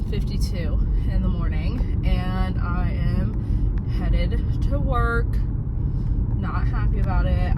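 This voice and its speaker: A young woman vlogging on her way to work, chatty and full of "like" fillers, congested and coughing. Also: mid-range voice. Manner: chatty, fillers of "like", swears casually. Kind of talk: casual vlog chat. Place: in a car heading to work. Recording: dull lo-fi audio with loud car noise.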